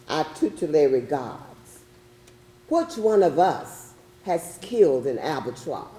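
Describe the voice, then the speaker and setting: A woman's voice: fairly low pitch, warm tone. A woman playing a fearful, haunted slave-ship sailor in a grave, solemn readers theatre recital, recorded in a roomy, echoing space.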